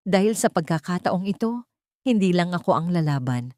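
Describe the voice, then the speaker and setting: A woman voicing a young mother who speaks in a hushed, steely tone, in a storybook reading. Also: on the low side, expressive, normal speed, no room sound, studio quality, natural voice. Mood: cold resolve. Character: young mother, weak but fiercely protective.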